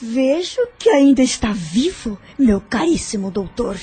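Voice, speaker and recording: A woman's voice: on the high side, very animated, measured pace, warm tone, breathy edge, faint music behind, heavily acted. An actress with a Brazilian Portuguese accent voicing a spiteful, vengeful woman villain who taunts with sarcastic laughter in an audio drama. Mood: mocking.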